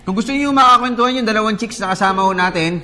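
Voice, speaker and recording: A man's voice: sits high, very fast, pushed and bright. A man co-hosting a sports newscast in a studio, teasing his co-hosts in playful, chatty banter. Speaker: sports show co-host.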